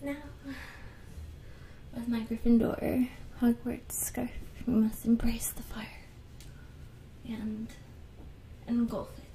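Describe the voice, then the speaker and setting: A young woman whispering softly and unhurriedly into a close microphone in a fantasy ASMR roleplay. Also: fairly high pitch, flat delivery, slow, long pauses, a little hiss or hum. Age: young adult. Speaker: ASMR video host. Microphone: close studio microphone on a stand.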